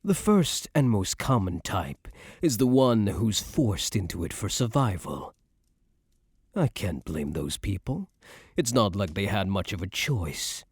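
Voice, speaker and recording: A man playing a cocky young mafia heir who is a doting but menacing boyfriend, in an intimate ASMR roleplay with slow, smug tough-guy slang. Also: fairly low pitch, big pitch swings, breathy edge, dry recording, clean studio sound, heavily acted. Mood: musing, confiding.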